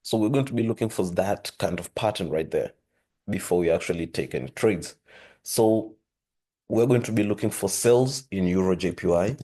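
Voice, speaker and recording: A man hosting a trading lesson, talking steadily through charts with a hoarse voice and frequent throat-clearing. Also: low-pitched, moderate pace. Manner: steady explanatory, repeats "with that being said". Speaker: forex trading channel host. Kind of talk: screen-share trading lesson.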